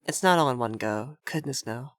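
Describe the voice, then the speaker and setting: A very dry, intimate recording of a man acting as an effeminate vampire lord in an ASMR roleplay. He is haughty and prim, giving orders to the listener with tsundere fluster. His voice sits at a medium pitch. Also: moderate pace, fairly bright tone, no echo, lightly acted. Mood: encouraging, teasing.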